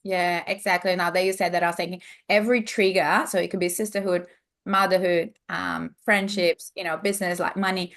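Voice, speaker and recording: A woman's voice: lowish voice, steady tone, a little fast, a bit bright. A woman from Brazil with a Brazilian accent, an upbeat coach chatting as a podcast guest. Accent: Brazilian Portuguese. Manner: upbeat, cheerleading. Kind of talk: casual interview chat.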